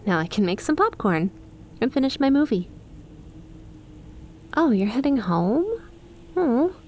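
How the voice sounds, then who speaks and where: A woman's voice: fairly high pitch, sing-song, unhurried, darkish tone, slight background noise, pitched above the performer's own voice. A woman playing an affectionate young snake-girl best friend in an ASMR roleplay, teasing and chummy as she talks to the listener. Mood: upbeat, friendly.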